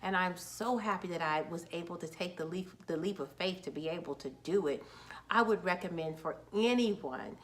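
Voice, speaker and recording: A woman's voice: fairly low pitch, moderate pace, low-quality recording. A woman in her forties, a children's book author, talking casually and upbeat to camera, a little nervous and restarting words. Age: 40s.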